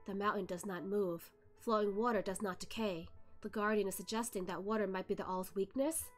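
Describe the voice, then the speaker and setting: A woman voicing a reserved, formal male otherworldly judge who answers calmly and politely. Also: mid-range voice, fairly quick, soft background music, close to an everyday voice. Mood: guarded. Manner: calm, guarded, polite.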